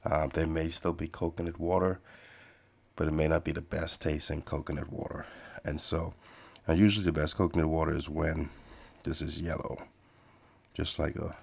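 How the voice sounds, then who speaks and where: A man's voice: rumbling low, level tone, moderate pace, gravelly, darkish tone, slightly rough. A man from the Bahamas hosting a podcast, chatting casually and rambling while he draws. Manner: meandering, many fillers and restarts, laid-back. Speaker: podcast host and artist.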